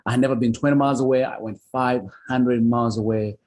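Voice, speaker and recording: A man's voice: mid-range voice, steady tone, quick pace, a little mellow, a little hiss or hum. A man from Uganda, a podcast guest telling his life story earnestly and often adding "you know". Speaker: guest, author and foster father. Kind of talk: interview storytelling.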